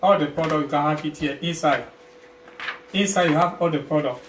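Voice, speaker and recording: A man's voice: fairly high pitch, reverberant. Cameroonian guy selling stuff on a livestream, keeps repeating himself, far from mic, noisy background. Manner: halting, repetitive, rephrasing phrases several times. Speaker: health product sales partner, guest presenter. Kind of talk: informal livestream product pitch.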